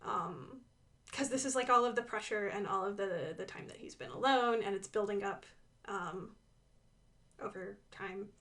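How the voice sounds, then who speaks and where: A woman's voice: medium pitch, moderate pace, a bit bright. A woman giving casual, enthusiastic commentary on her own animation, playful, with sing-song asides and dramatic emphasis.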